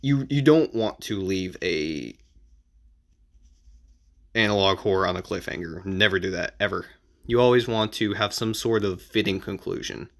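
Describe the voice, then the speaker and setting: A man giving casual advice as an amateur video creator, explaining in an informal, halting and repetitive way. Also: sits low, a bit bright.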